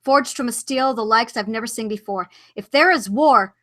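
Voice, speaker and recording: A woman's voice: fairly high pitch, moderate pace, fairly bright tone, close to an everyday voice. A woman voicing a grim, weary young warrior lord, reading his lines with a light accent. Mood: grave. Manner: stern, with a put-on accent.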